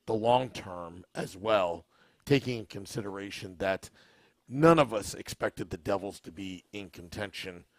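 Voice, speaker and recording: Scratchy-voiced man hosting a slow podcast. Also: lowish voice, no echo. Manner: relaxed, unhurried, asks the audience questions. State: scratchy, worn voice. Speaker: podcast host. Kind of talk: live-streamed podcast chat, reading viewer comments.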